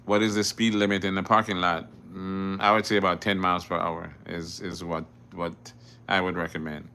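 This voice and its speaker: Man, middle-aged, from Jamaica, bright forceful tone, deep voice, answers viewer questions. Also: little pitch movement, normal speed, clean tone. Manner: reads viewer questions aloud, then answers them plainly; repeats words and restarts often. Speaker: driving instructor. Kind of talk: casual livestream Q&A.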